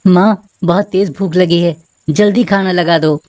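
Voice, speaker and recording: A high-pitched grown son acted by a man, hungry and asking to be fed. Music plays under his storybook-style line. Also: steady tone, a little slow, a little mellow. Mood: hungry, impatient. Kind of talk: animated moral story acting.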